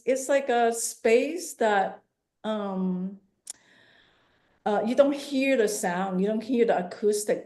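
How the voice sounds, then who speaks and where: A woman's voice: a little high, a little mellow. A woman in her fifties from Hong Kong answering questions in a conversational artist talk, thinking aloud and correcting herself as she goes. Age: 50s. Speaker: visual artist giving an artist talk.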